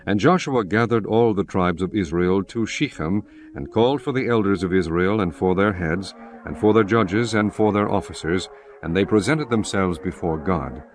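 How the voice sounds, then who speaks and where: A man narrating a dramatized scripture in a steady, measured way. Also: low-pitched.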